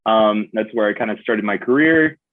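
A man's voice: lowish voice, normal speed, no room sound. A young man from New Hampshire, a guest on a podcast, chatting casually and playfully, acting out little voices in his stories. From New Hampshire, USA. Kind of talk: casual chat. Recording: dry tinny phone-like sound.

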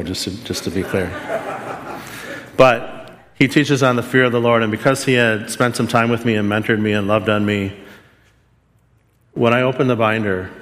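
A man's voice: on the low side, fairly bright tone. A man giving a sermon, telling a personal story in an earnest, self-deprecating way. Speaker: preacher. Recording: long hall reverb.

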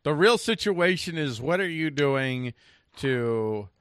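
An American man hosting a casual political talk show, speaking in a halting way and repeating words for emphasis. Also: on the high side, animated, fairly bright tone, no echo, clean studio sound.

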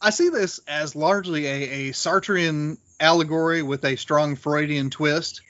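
A man discussing literature as a podcast panelist in a scholarly, deliberate, explanatory way. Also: fairly high pitch, fairly even intonation, fairly bright tone, muffled recording.

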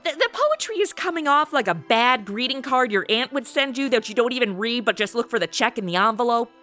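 A woman's voice: on the high side, lively intonation, brisk, bright, forceful tone, soft background music. A woman hosting and acting in a playful radio comedy, with a perky, modern, slangy delivery. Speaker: show host and lead actress.

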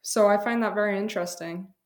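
A woman's voice: mid-range voice, fairly quick. A young adult woman, a student guest, telling personal experiences conversationally in a candid, thoughtful way. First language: English.

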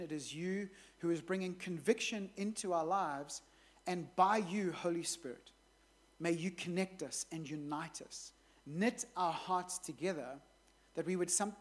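Earnest woman pastor, lower voice. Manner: earnest, measured, prayerful. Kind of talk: sermon and prayer.

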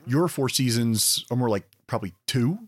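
A man with an American accent and a mid-pitched voice, chatting as a podcast guest. His delivery is casual and halting, recorded close with no room sound. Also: animated, no room sound. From United States. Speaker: podcast panellist. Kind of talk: casual chat.

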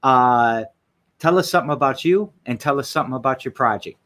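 A man's voice: mid-range voice, brisk, a bit bright. A man in his forties hosting a podcast interview in a friendly, chatty way, often stumbling and restarting his sentences. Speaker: podcast host. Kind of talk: casual interview.

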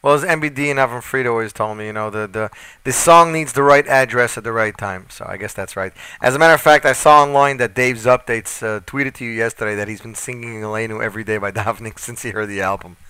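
A man's voice: medium pitch, quick pace, bright, forceful tone, clean tone, no echo. A man hosting a radio interview, chatty and enthusiastic, asking questions in stacks.

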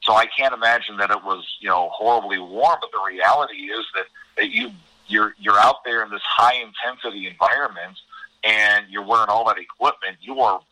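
Male sports broadcaster as guest analyst, slightly low but very bright voice, emphatic, close dry sound. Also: a little fast, no echo, low-quality recording. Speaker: hockey broadcaster, interview guest.

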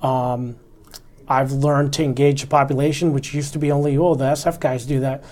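A man in his forties speaks with an even, level delivery that rarely climbs or dips. He is an army officer reflecting on his experience in an interview, earnest and instructive, and he keeps coming back to the fundamentals. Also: medium pitch, moderate pace.

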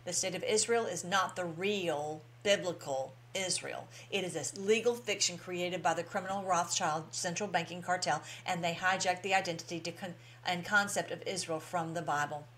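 A woman's voice: lowish voice, moderate pace, hardly any pauses, fairly bright tone, a little hiss or hum. A woman hosting an informal political commentary show, talking to her followers in a folksy, emphatic way and repeating phrases for stress.

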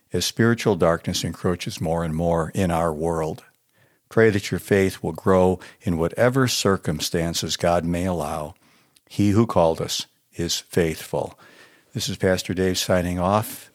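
A male pastor with a low-pitched American voice reads a prepared devotional. His delivery is earnest and measured, as if written out in advance and read with care. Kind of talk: scripted devotional reading.

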